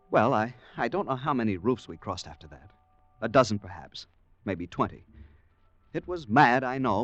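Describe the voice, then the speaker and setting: A timid, polite gentleman traveller played by a male actor in a 1940s radio adventure, with very lively pitch movement. He is earnest and hesitant, swept up in romance and danger. The restored old recording has music and faint noise around him. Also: natural voice. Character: timid, polite male traveller turned infatuated accidental hero. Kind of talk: 1940s radio acting and first-person narration.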